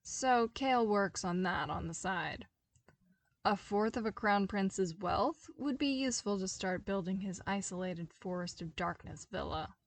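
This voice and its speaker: A woman reading a fan-fiction story aloud, with measured, even storytelling narration. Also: mid-range voice. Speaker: podfic reader. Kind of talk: scripted narration.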